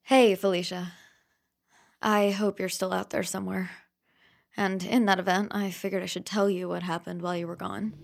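A woman playing a lost, guilt-ridden woman confessing to a friend in an intimate, halting audio drama monologue. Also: mid-range voice, flat delivery, creaky, natural voice. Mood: weary, confiding.

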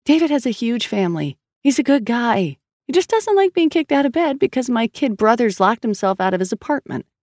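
A man acts out a guarded woman detective in her thirties for an audiobook. She is worn out, terse and exasperated, with a creaky voice in a close, dry recording. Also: high voice, sing-song, normal speed, a little mellow, dry recording, heavily acted.

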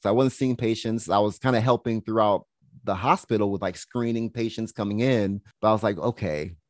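A young man, a health coach, chatting casually in an interview, relaxed and laughing easily, with plenty of "you know"s. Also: on the low side, no room sound, studio quality. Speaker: health coach and podcast guest.

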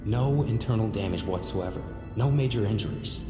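A man's voice: fairly low pitch, normal speed, big-room sound, over loud music, close to an everyday voice. A man playing a dry, weary official. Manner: dry, matter-of-fact.

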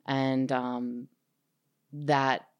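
A woman filmmaker with an extremely low-pitched voice. She speaks as an interview guest in an analytical but conversational way, pausing to find precise words. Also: little pitch movement, clean tone.

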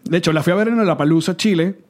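A man's voice: high-pitched, very fast, darkish tone. A man, co-hosting a casual podcast chat, joking and laughing often. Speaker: podcast co-host.

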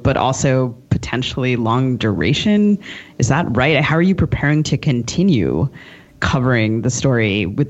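Woman interviewer with dull, lo-fi sound. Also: rumbling low. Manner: halting, searching phrasing. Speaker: podcast co-host. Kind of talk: conversational interviewing. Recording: dull, lo-fi audio.

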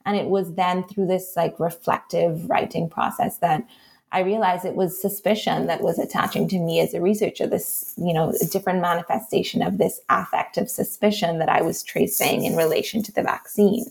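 A woman with a Trinidadian accent, an author answering interview questions in a thoughtful, measured way. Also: on the low side, steady tone, gravelly, a little mellow. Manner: thoughtful, measured, frequent "right?" and "um". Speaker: author and researcher, interview guest.

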